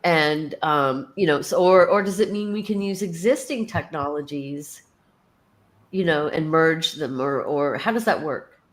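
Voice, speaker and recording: A woman's voice: sits low, measured pace. A woman moderating a panel discussion, posing questions in a playful, teasing way.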